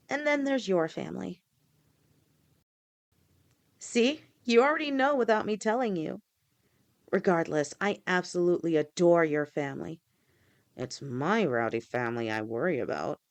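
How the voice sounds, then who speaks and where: A woman voicing a gentle, protective snake-woman girlfriend who talks softly and reassuringly to her partner in an audio roleplay. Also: lowish voice. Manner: soft, reassuring, speaks directly to a partner.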